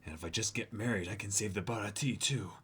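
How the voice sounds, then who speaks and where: A man's voice: lowish voice, fast, a bit bright, a little hiss or hum, pitched below the performer's own voice, natural voice. A man playing an earnest, self-sacrificing, lovesick young pirate cook in a dramatic comic reading. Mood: resigned. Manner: earnest, melodramatic.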